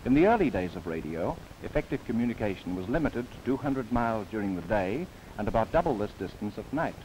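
A man narrates in a lively, rising-and-falling newsreel manner, his voice sitting fairly low. He slips in wry, playful asides. The sound comes from an old film soundtrack with a faint hiss underneath. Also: brisk. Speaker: documentary narrator.

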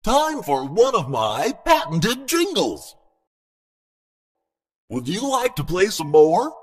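An actor playing an over-friendly, slyly creepy animatronic entertainer with a showy, wheedling manner. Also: male voice, high voice, huge pitch swings, slow, husky, heavily acted. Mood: sly cheer.

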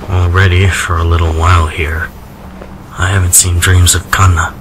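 A man playing a reserved, melancholy man in a radio drama, speaking in a subdued, measured way. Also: low voice, level tone, noisy background, lightly acted. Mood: wistful. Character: reserved, melancholy man musing on lost love. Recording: music behind the voice.

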